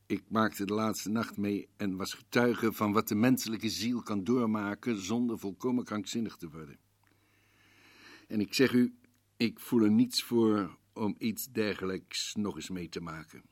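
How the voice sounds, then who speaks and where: An actor playing a portly, combative older professor-detective who gravely recounts a case in a radio play. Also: male voice, fairly low pitch, no room sound, studio quality. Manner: grave, slow, telling a story to the listener. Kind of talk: 1950s radio drama narration.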